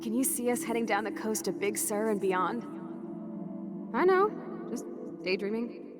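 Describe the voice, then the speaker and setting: A woman's voice: on the high side, sing-song, moderate pace. An American woman playing a brash, rebellious, loyal teenage girl in a video game, slangy and cocky. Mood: playful, teasing. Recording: music behind the voice. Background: game soundtrack music.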